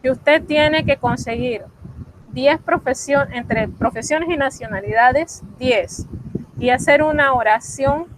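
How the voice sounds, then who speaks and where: A woman's voice: high voice, takes it slowly. A woman, teaching an online French class and patiently repeating the key points. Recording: loud background noise.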